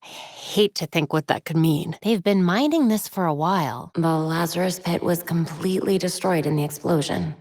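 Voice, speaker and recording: A woman's voice: on the low side, normal speed, few pauses, darkish tone, no echo, clean studio sound, close to an everyday voice. A woman playing a young female superhero in a video game, witty, determined and brainy, with a wry conversational delivery. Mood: focused, investigative.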